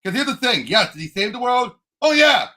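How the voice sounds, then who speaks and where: A man co-hosting a podcast, discussing a movie casually in an excitable, emphatic fan's way. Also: very high-pitched, brisk. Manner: excitable, emphatic fan talk. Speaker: podcast co-host.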